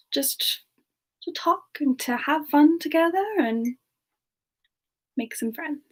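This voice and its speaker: A sweet young demon girl played by a woman chats casually in a semi-ASMR style. Her voice sits fairly high and she speaks very slowly, gently and with hesitant pauses, like a friendly streamer in character. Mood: gentle, friendly. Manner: gentle, hesitant, pausing often mid-sentence. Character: sweet, chatty, domestic young female demon Frostbyte, already dead. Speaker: host of a live Q&A stream.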